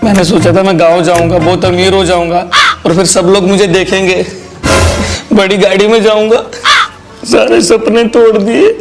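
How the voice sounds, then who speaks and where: Man acting a high-pitched, melodramatic lover boy. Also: little pitch movement, moderate pace, music in the background. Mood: melodramatic wailing. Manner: theatrical, whining. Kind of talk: exaggerated comedy skit dialogue.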